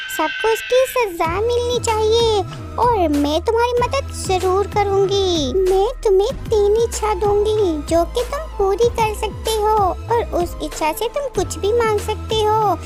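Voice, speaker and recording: A performer voicing a sly, scheming witch who feigns kindness in a children's cartoon story, with music behind. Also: very high-pitched. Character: cackling female witch, sly, falsely kind, scheming.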